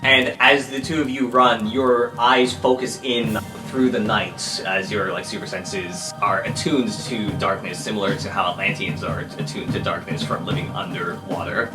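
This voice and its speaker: A man's voice: fairly low pitch, steady tone, normal speed, hardly any pauses. Man game master narrating in roomy sound. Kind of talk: improvised tabletop game narration. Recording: roomy, distant mic, music underneath.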